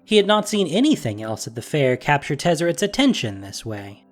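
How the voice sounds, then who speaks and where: Audio drama narrator, scripted storytelling, expressive, lively pitch movement, mid-range voice. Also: brisk, soft background music.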